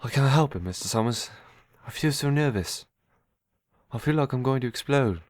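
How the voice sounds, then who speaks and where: A man playing a simple-minded, earnest young man in an amateur audio drama, eager and anxious, with halting, childlike phrasing. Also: medium pitch, animated, dry recording, studio quality, lightly acted. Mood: nervous.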